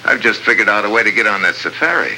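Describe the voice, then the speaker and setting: Deep-voiced, hard-boiled American agent. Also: male voice, lively intonation, fast, bright, forceful tone, exaggerated voice. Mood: sly, confident. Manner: wry, clipped, hard-boiled. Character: tough, wisecracking American male secret agent. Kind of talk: 1950s radio adventure drama acting. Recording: noisy old radio drama recording.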